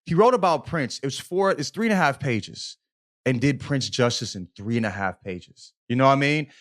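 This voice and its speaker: A man's voice: mid-range voice, animated, moderate pace. A man co-hosting a podcast, chatting casually and earnestly about a book, with effusive, loose, profane phrasing. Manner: profane, repeats "you know what I'm saying". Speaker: podcast co-host. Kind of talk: casual book club chat.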